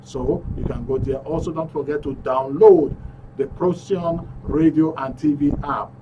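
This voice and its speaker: A man's voice: fairly high pitch, fairly even intonation, a little slow, distant mic, muffled recording, background noise. A Nigerian man hosting a live call-in radio show from the studio, upbeat as he reads out messages and phone numbers. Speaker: radio talk show host.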